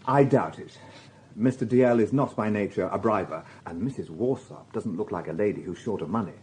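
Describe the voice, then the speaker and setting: An actor playing a dry, insistent police inspector with a British accent, questioning in a measured, sardonic way in a radio drama. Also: male voice, lowish voice, darkish tone, lightly acted. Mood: dry, probing.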